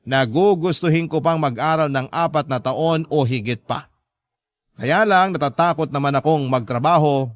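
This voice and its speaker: Male narrator for radio drama: mid-pitched, scripted first-person storytelling, close dry recording. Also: no room sound.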